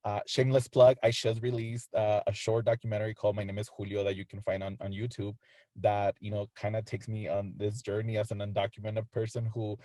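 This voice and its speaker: A man's voice: lowish voice, flat delivery, brisk, dark tone, no echo. A man, an artist speaking on an online panel, talking casually with many fillers.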